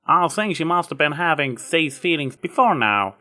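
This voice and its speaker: Man voicing a folksy farm-girl pony, raised pitch. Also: big pitch swings, normal speed, sharp tone. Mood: thoughtful, reassuring. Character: warm, earnest, protective farm-girl pony, plain-spoken country mare.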